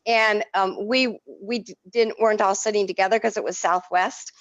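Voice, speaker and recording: An expressive woman, French her first language, tells stories with lots of feeling as an interview guest. Her voice sits mid-range for a woman. The recording is dry and a little dull, with little room sound. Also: medium pitch, normal speed, no echo. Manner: effusive, expressive storyteller. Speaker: artist and art teacher, interview guest. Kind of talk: casual interview.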